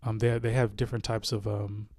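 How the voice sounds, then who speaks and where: A man's voice: on the low side, little pitch movement, a little fast, a little mellow, no room sound, studio quality. A man hosting a podcast interview, laid-back and chatty, with lots of fillers and tag questions. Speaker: podcast host. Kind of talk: casual interview chat.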